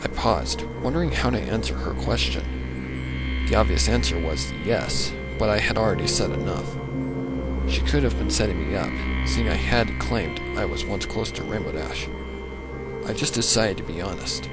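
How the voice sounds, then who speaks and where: Guy reading a sad dark fanfic out loud, lowish voice, dramatic, loud music behind. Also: lively intonation, moderate pace. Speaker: fan fiction narrator. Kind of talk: dramatic scripted first-person story narration. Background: prominent music.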